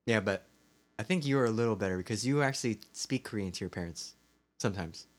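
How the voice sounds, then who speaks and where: A man's voice: fairly low pitch, fast. A man co-hosting a casual chat podcast, making dry, self-mocking jokes, recorded on a cheap makeshift mic. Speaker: podcast co-host.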